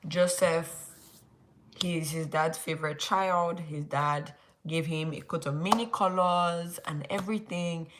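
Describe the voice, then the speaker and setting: A woman's voice: lowish voice, steady tone, measured pace, a bit bright, a little hiss or hum. A young woman with a Nigerian accent hosting a casual late-night Bible study livestream, rambling and often restarting her sentences with "like". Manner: rambling, restarts, heavy use of "like".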